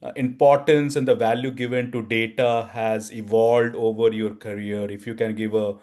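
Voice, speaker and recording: A man's voice: lowish voice, flat delivery, normal speed, a little mellow. A man hosting an interview over a video call, asking polite, formal questions with many fillers. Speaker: interview host, book co-author. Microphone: video call, webcam.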